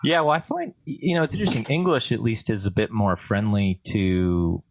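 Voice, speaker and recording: A man's voice: fairly low pitch, a little fast, no room sound. A man from Canada chatting casually as a podcast co-host, explaining things in a thoughtful, discursive way.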